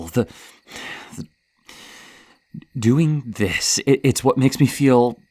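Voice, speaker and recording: A man playing a gleeful, hesitant serial killer villain toying with prey in a horror roleplay, stammering and pausing dramatically between breathy sighs. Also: medium pitch, exaggerated voice. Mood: thrilled, intense. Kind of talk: ASMR horror roleplay monologue.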